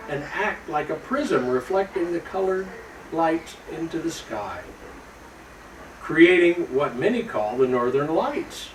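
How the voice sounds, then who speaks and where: Man, children's story reader, animated intonation, slightly raised pitch, distant mic, faint background noise. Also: reverberant.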